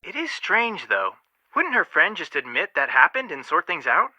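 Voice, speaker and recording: An actor playing a cautious, level-headed, skeptical young detective in scripted video game dialogue. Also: male voice, on the high side, very animated, normal speed, pushed and bright, no room sound, dull audio, exaggerated voice.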